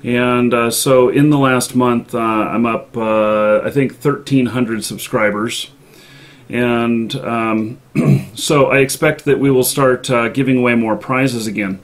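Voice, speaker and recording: Halting man hosting a call-in show. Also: mid-range voice, fairly even intonation. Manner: halting, hesitant, polite. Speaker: live show host taking callers. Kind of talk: unscripted call-in show chat.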